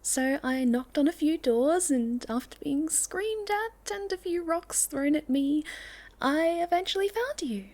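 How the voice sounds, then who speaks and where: A woman voicing a shy, sweet, curious young moth girl in an ASMR roleplay, speaking softly and politely with a hesitant stammer. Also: high voice, expressive, a little slow. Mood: shy, nervous.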